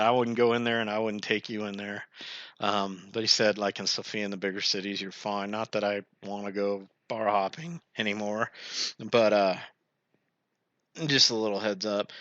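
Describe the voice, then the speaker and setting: A man's voice: fairly low pitch, sharp tone, dry recording. A man hosting a solo podcast, rambling through his thoughts with lots of fillers and tangents. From United States. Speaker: podcast host. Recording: dull lo-fi sound, dry.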